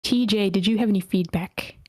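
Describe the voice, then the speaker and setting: A woman's voice: medium pitch, fairly quick, darkish tone, no room sound. Dry-sounding woman moderating a group. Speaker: meeting moderator. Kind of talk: casual moderating. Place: online meeting.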